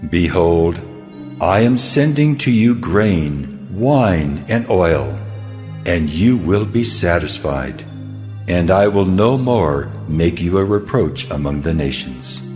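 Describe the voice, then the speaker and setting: Man voicing God, very deep and reverberant. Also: lively intonation, slow pace, a little mellow. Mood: solemn, majestic. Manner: solemn, stately, declarative. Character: God speaking, solemn mighty male deity promising restoration. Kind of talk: dramatized scripture reading. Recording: long cavernous reverb over music.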